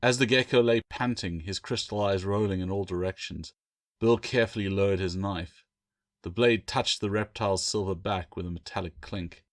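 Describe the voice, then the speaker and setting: A man's voice: sits low, moderate pace, no echo. A man narrating a fantasy novel as its author, in a scripted audiobook reading.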